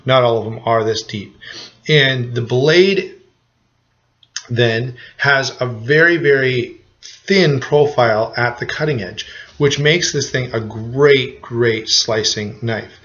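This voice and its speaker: A man's voice: medium pitch, takes it slowly, fairly bright tone, dull audio. A man with a cold giving a casual, rambling knife review to camera, full of restarts and fillers. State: has a cold.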